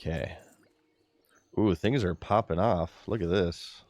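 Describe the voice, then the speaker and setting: Very deep-voiced giggly male streamer. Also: measured pace, a little mellow. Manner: giggly, laid-back, chatting to viewers while playing. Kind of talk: casual game-streaming commentary.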